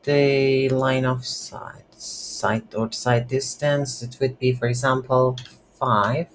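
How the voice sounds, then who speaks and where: An instructor talks through a coding tutorial without a script, thinking aloud. The delivery is very slow and halting, in a medium-pitched voice. There is faint noise behind the recording. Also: flat delivery. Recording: light background noise.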